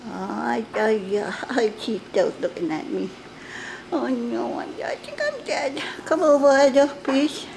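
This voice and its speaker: A woman's voice: fairly high pitch, animated, takes it slowly, creaky, noisy background, full character voice. A woman voicing an anxious young male dog who talks in a whiny, childlike, pleading way. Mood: scared, pleading. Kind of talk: pet voice-over monologue.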